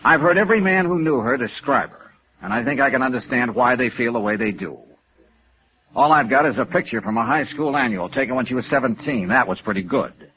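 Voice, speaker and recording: Old lo-fi radio noir: male actor plays persistent American investigator, clipped and matter-of-fact, with a very varied pitch. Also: expressive, a little fast, natural voice. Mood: persuasive. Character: world-weary, persistent male insurance investigator narrating his case. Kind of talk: 1950s radio noir acting and narration. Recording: dull vintage radio recording.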